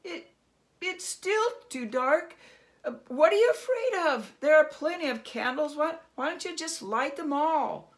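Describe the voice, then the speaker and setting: Woman playing a wily, high-voiced storybook trickster man. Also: lively intonation, measured pace. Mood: coaxing. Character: wily man feigning fright, cheeky and defiant.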